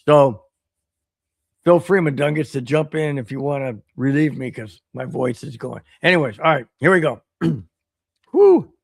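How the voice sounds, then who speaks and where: Man, podcast host, animated rant, going hoarse, bright-ish pitch, dry mic. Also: unhurried, no room sound. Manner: animated, repeats himself, riled up. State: voice getting hoarse. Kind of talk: solo livestream rant reading chat.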